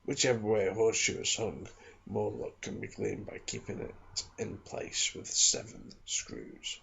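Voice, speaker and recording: A man delivers soft-spoken ASMR reading and informal chat. His voice is fairly low and very creaky, with a husky, breath-worn texture. The recording sounds slightly dull. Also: very animated, measured pace. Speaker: ASMR video creator and book reader.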